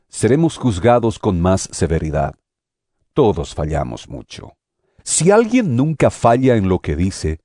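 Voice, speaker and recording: An earnest apostle admonishes his brethren in a medium-pitched voice that rises and falls widely. The delivery is oratorical and emphatic, in the manner of a scripture audio drama, with music clearly playing behind it. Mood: instructive.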